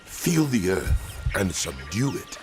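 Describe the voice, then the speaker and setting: A man's voice: low-pitched, very animated, slow, over music, heavily acted. A man playing God as a solemn, commanding deity in a dramatized scripture reading. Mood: solemn, majestic. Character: God creating the world, commanding, majestic male deity.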